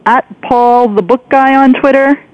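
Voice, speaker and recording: A woman hosting a conversational interview, speaking in deliberate, halting phrases, on lo-fi radio audio. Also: high voice, fairly even intonation, darkish tone, no echo. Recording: dull lo-fi radio sound.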